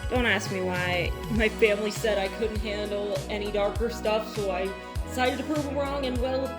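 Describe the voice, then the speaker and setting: A woman's voice: mid-range voice, normal speed, music in the background. A woman hosting her storytelling channel, talking in a chatty, informal way. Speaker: channel host and story narrator. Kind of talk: dramatic horror reading, then casual chatty outro.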